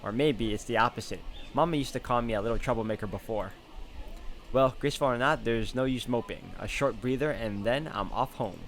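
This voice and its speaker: A man narrating visual novel text aloud as a let's player, in an expressive storytelling style. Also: medium pitch, a little fast. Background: guitar music.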